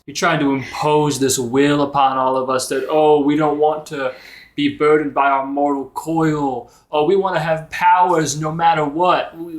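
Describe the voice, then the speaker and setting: A man's voice: on the high side, reverberant, lightly acted. A man playing a defiant, heartfelt performer in a fantasy tabletop game, arguing in an earnest, folksy way. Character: defiant, heartfelt mortal performer standing up to a lich.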